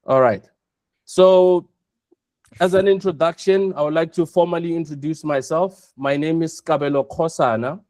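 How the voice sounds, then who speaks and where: A South African man presenting an online webinar, speaking in a measured way and repeating his points for emphasis. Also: a little high, darkish tone, no room sound. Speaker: webinar host, operations chief.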